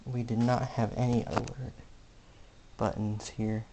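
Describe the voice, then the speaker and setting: Slow-talking man with a gravelly, creaky voice. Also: medium pitch, little pitch movement. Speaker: hobbyist presenter. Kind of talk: casual hands-on electronics demonstration. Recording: faint background noise with clicks.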